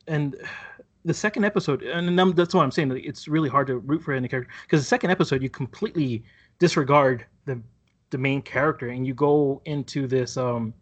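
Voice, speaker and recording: A man chatting casually about a TV show, rambling and halting with many restarts and fillers. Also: medium pitch. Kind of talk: casual chat reviewing a TV show.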